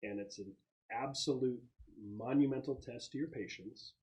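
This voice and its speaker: A man with a mid-pitched voice runs a tabletop roleplaying game as game master. He narrates the situation to the players in a conversational, explanatory way. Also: moderate pace, noisy background.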